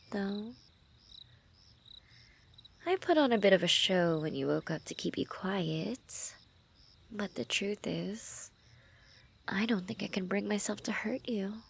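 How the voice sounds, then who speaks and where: Woman performing a female assassin, infatuated, quietly menacing, teasing, half-whispered, vocal fry, intimate ASMR roleplay. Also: fairly low pitch, slight background noise. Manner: hushed, often dropping into whispers, teasing.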